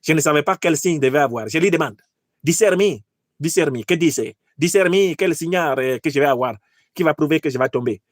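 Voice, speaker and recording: A man's voice: fairly high pitch, fairly quick, no room sound, clean studio sound, close to an everyday voice. A man playing an anxious, superstitious ruler desperate to keep power in a satirical skit, stammering and repeating words.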